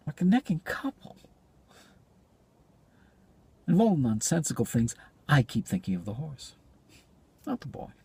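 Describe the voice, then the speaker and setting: Male actor as troubled psychiatrist, theatrical, husky, deliberate, widely varied pitch. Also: dark tone, heavily acted. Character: brooding male psychiatrist haunted by a horse. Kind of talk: theatrical stage monologue.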